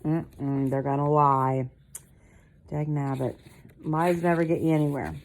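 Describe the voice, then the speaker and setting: An older woman with a low voice reads a picture book aloud at bedtime. She is expressive and breaks off now and then to chat casually to the listening child, as a grandmother would. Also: a little slow. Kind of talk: bedtime story reading with casual asides to a child.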